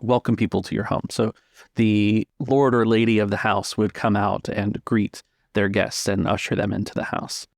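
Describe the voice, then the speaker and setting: A man narrating a tabletop game as game master, descriptive and measured, pausing mid-phrase. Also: fairly low pitch, level tone, vocal fry, dry recording, studio quality. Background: music.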